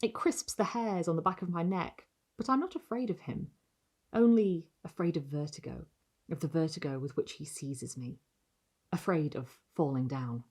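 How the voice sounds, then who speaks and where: A woman reading a gothic short story aloud, measured and expressive, lingering on phrases. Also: low-pitched. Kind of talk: literary audiobook-style narration of gothic fiction.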